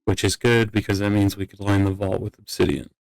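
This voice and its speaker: A man's voice: lowish voice, little pitch movement, fairly quick, gravelly, a little mellow, dry recording. A man playing a casual, wryly teasing young courtier with a hesitant delivery in an improvised Minecraft roleplay. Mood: matter-of-fact.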